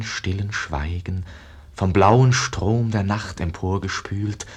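An actor playing a brooding painter's pupil in a 1950s German radio verse drama, declaiming with solemn, poetic intensity. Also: male voice, deep voice, husky, exaggerated voice. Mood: hushed wonder. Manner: solemn poetic declamation, swelling from hush to rapture. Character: brooding, world-weary male Renaissance painter's pupil.

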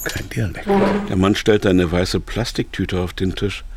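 A man's voice: low-pitched, steady tone, measured pace, noisy background. A man playing a frightened kidnapped businessman in a radio drama, telling his thoughts in terse fragments. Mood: tense, observing. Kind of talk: radio drama inner monologue and narration. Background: music.

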